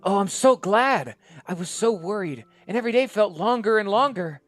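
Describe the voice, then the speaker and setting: A man's voice: sits high, expressive, normal speed, no room sound, voice pushed up. A man voicing an eager, fussy young woman doctor in a one-man drama, with bubbly, anxious bursts of speech. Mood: overjoyed.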